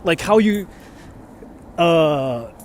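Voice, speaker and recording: A man's voice: on the high side, animated, very slow, slight background noise. A young man from Japan, a native Japanese speaker, chatting casually on a livestream and reading out viewers' comments. He rambles in a chatty way, with lots of fillers and an emphatic "freaking". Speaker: streamer reading chat comments.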